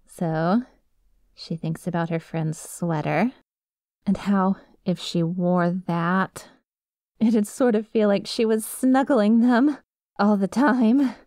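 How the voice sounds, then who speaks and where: A woman's voice: mid-range voice, steady tone, slow pace, a little mellow, no echo, lightly acted. Woman acting out a coy yandere girl, dry close sound. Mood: yearning, obsessive. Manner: coy, rambling, self-justifying. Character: lovesick, obsessive yandere best friend girl confessing through a story. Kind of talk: ASMR roleplay, conversational storytelling.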